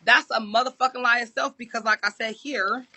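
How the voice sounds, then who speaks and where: A woman's voice: on the high side, steady tone, a little fast, bright, forceful tone, no room sound. A woman talking at length in an interview clip, insistent and assertive, repeating her points. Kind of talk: rambling monologue. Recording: dull lo-fi clip.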